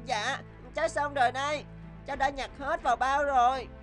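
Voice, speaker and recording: A woman voicing a naive, trusting young boy in an animated fairy tale, with an earnest, childlike delivery. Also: high voice, normal speed, faint music behind, close to an everyday voice.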